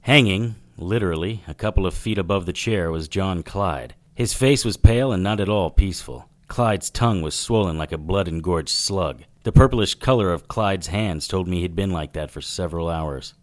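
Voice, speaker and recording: An actor playing a wry, hardboiled 1940s private eye in a noir radio drama, narrating and trading sardonic lines. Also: male voice, deep voice, normal speed, hardly any pauses, natural voice. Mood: grim, wry narration.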